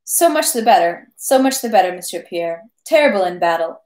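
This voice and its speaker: A jolly, swaggering French officer from a storybook, chattering on and on about his war wounds with cheerful pride, as a woman puts him on. The lines bounce up in pitch as he gets carried away. The reading sits in a roomy, echoing space. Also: a little fast, natural voice. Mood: jovial. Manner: lively, talks ceaselessly, good-natured bragging. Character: merry boastful French army captain, man. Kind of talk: audiobook character reading.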